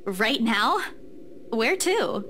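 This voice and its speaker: A woman's voice: a little high, sing-song, very slow, noisy background, exaggerated voice. A woman playing a kind, playful young woman in a visual novel game. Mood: light, friendly.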